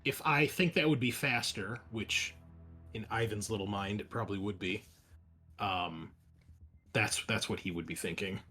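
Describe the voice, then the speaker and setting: A man's voice: lowish voice, normal speed, pushed and bright, husky. Male tabletop player with music behind. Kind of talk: casual game chat.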